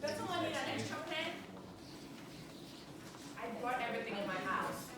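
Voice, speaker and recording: A cheerful woman with a Ghanaian accent runs a small workshop, laughing often and drawing the audience in with questions. Her voice sits high. She is caught from a distance in a very echoey room, with steady noise behind her. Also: moderate pace. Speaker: workshop speaker. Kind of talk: interactive stress-relief workshop. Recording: echoey room, mic far off, background noise.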